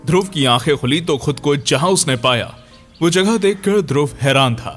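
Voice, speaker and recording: A man's voice: a little high, lively intonation, normal speed, fairly bright tone. A man narrating a scripted horror audio story in a dramatic storyteller's manner. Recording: music under the voice. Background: background music.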